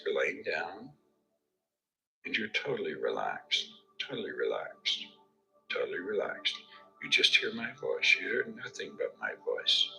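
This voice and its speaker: A man leading a guided hypnosis session with slow, soothing, repetitive suggestions and a gravelly voice. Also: low voice, pushed and bright, poor audio.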